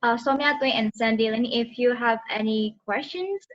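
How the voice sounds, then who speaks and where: A woman's voice: fairly high pitch, moderate pace, faint music behind. A woman hosting an online talk session, polite and hesitant, with lots of fillers. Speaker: host. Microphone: video call. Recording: dull lo-fi video call with faint noise.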